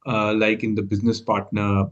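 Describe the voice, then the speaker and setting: A man giving an informal explanatory recap, halting and correcting himself as he goes. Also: fairly low pitch, little pitch movement, highs cut off. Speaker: co-presenter summarizing the process.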